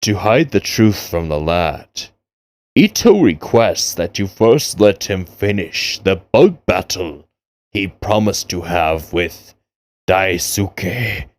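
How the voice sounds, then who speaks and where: A man's voice: low-pitched, animated, slow pace. A man giving casual, goofy game commentary, reading dialogue aloud and wryly talking back to the characters. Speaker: gaming video creator playing and commenting. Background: game music.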